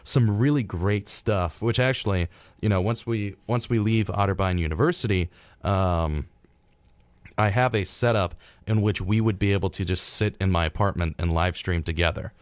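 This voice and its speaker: Young American male college radio co-host: very low pitch, halting casual delivery, dry studio sound. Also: no room sound. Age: young adult. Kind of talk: casual live radio chat.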